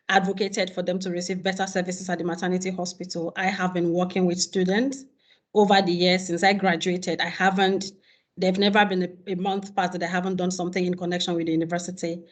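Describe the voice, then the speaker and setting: A woman candidate giving a prepared campaign statement over a video call, earnest and listing her points steadily. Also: fairly low pitch. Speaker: rector candidate.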